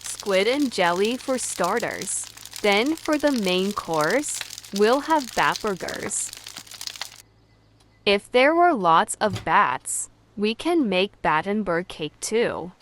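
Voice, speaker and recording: Woman acting Winnie, an excitable storybook witch, unhurried, noisy recording. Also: mid-range voice, voice pushed up. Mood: eager. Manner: exclamatory, emphatic storybook delivery. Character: Winnie, excitable scatty female witch, kindly at heart. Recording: clear background noise.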